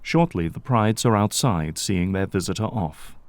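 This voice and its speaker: A man's voice: low voice, expressive, normal speed. A man delivering dramatic scripted narration as the narrator of an audio drama.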